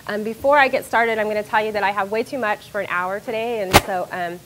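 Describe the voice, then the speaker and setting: A middle-aged woman, a university professor, giving an animated, self-deprecating lecture to an audience on a microphone in a lecture room. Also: mid-range voice, quick pace, heavy background noise. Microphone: handheld or stand microphone.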